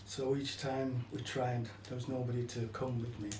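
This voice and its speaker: A man's voice: medium pitch, little pitch movement, normal speed, darkish tone. An older man telling personal stories in an interview, in a reflective, slightly hesitant manner. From Caribbean, then England from childhood. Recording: echoey room, distant mic, faint noise.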